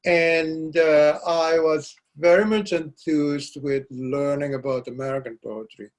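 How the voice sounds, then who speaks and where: An older man whose first language is Dutch, a poet being interviewed, speaking reflectively and pausing often to search for words. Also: on the high side, steady tone. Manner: halting, searching for words, frequent restarts. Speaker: poet guest.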